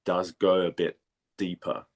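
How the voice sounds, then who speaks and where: Man, from Denmark, deep voice, informal interview answers, halting delivery with repeated words. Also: little pitch movement. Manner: hesitant, halting, repeats words while thinking. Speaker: voice actor, interview guest. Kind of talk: casual interview chat.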